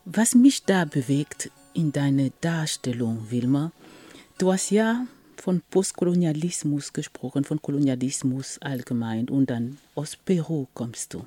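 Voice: medium pitch, animated, measured pace, dark tone, slightly rough, faint music behind. A radio host who interviews a guest, asking short, affirming questions.

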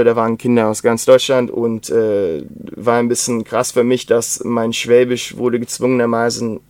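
A young man with a slight Swabian accent, talking casually and rambling with many pauses, fillers and restarts. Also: mid-range voice, a little mellow, no room sound. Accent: German with Swabian traces. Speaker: interview guest. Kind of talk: casual conversation.

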